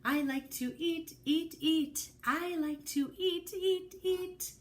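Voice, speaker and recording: A woman voicing a hungry, eager, impatient little storybook boy in an excitable, singsong way. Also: very high voice, slow pace, pitched above the performer's own voice. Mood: eager, chanting.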